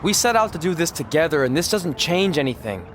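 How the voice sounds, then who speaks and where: Earnest, animated young man. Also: a little high, a little fast, slight background noise. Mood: earnest, apologetic. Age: young adult. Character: earnest, stubborn young male aspiring pro wrestler.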